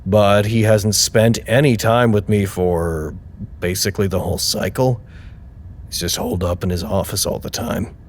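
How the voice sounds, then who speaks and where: A man's voice: fairly low pitch, fairly even intonation, normal speed, close to an everyday voice. A man in an audio drama playing a wry, mischievous but caring colleague with a casual manner. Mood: worried.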